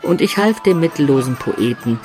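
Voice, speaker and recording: A man's voice: a little high, expressive, normal speed, close to an everyday voice. An actor playing a medieval adventurer who recounts his student youth with wry, ornate storytelling in a literary radio drama. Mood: amused, recounting. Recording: studio radio drama with music beds.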